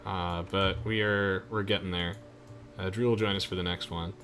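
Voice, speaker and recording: A man's voice: low-pitched, steady tone, normal speed, a bit bright, faint music behind. A young man giving casual, chatty gameplay commentary to friends, explaining things with hesitant trailing asides. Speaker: gamer hosting a let's play.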